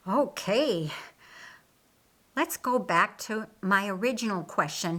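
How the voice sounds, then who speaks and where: A woman voicing a patient, authoritative male teacher in an audiobook, explaining in a measured, didactic way. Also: on the high side, pushed and bright, full character voice. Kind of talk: audiobook character dialogue.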